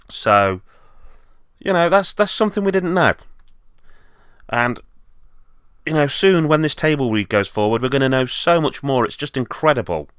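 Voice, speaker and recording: A man's voice: mid-range voice, a bit bright, clean tone, no echo, clean studio sound. A man presenting an enthusiastic fan update as a video host, speaking in an earnest way.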